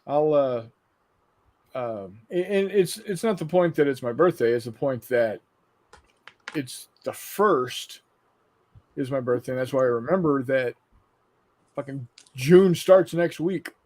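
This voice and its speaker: A man's voice: mid-range voice, expressive, measured pace, pauses often, darkish tone. A man in his fifties chatting casually as a show host, blunt and free with swearing, reading out viewer comments. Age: 50s.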